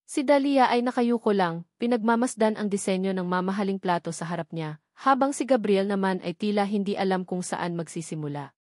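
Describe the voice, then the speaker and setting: A woman's voice: medium pitch, fairly quick, darkish tone, dry recording, clean studio sound. A woman reading a scripted romantic drama story as its narrator.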